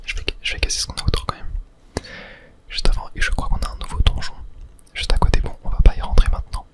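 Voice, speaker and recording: Someone whispering softly and breathily, thinking aloud in a casual ASMR gameplay commentary. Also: fast talker. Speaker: video game streamer narrating play.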